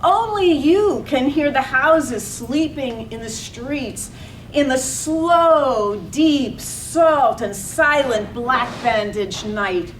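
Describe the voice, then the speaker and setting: A woman narrating a poetic play from a script, deliberately lingering on single words. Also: high voice, expressive, a little hiss or hum, low-quality recording.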